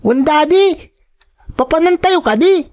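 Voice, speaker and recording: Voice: very high voice, expressive, a little slow, a little mellow. An actor voicing a cheerful, eager young child in an Ilocano family drama. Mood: excited, delighted. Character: cheerful, excitable young child of doting parents. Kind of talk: Ilocano radio-style drama acting.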